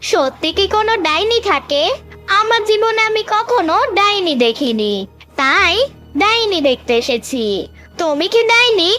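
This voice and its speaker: A woman's voice: very high voice, expressive, a little slow, sharp tone, full character voice. A woman voicing a fearless, curious little village boy in a cartoon, bold, chirpy and inquisitive, with music behind. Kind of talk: cartoon character voice acting.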